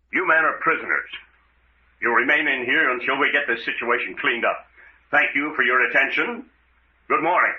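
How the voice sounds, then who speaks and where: A man playing a brisk, commanding adventurer in an old-time radio serial, giving clipped orders. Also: medium pitch, highs cut off, close to an everyday voice. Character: brisk commanding male adventurer leading a rescue. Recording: noisy band-limited old radio recording.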